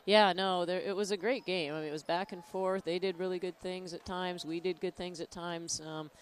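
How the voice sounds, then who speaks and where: A woman's voice: fairly low pitch, fairly even intonation, moderate pace, slight background noise. A tired American woman in her thirties, a basketball coach giving a post-game interview on a headset mic. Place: courtside in an indoor gym.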